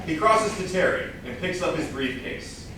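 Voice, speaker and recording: A man's voice: sits high, animated, normal speed, sharp tone. A man giving scripted narration, reading out stage directions at a staged play reading. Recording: echoey hall, far mic, clear noise.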